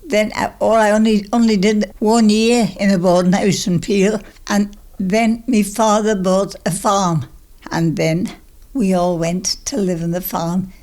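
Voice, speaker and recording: A woman's voice: mid-range voice, steady tone, measured pace, a little mellow. A woman of ninety, reminiscing conversationally in short, plain-spoken statements as she is interviewed.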